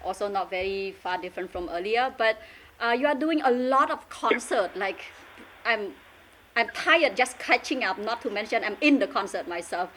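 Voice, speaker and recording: A woman working as a radio DJ, interviewing in a casual, excitable, joking and giggly fan-like way. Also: mid-range voice, normal speed, slight background noise, rough recording.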